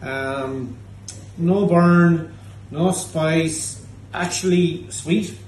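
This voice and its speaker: A man's voice: a little high, very slow, a little mellow, far from the mic. A man in his thirties with a Cork Irish accent, hosting a pub tasting show in cheeky, teasing banter. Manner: cheeky, teasing, swears freely. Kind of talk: casual banter while tasting drinks. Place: indoor pub bar. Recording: echoey pub room with faint noise.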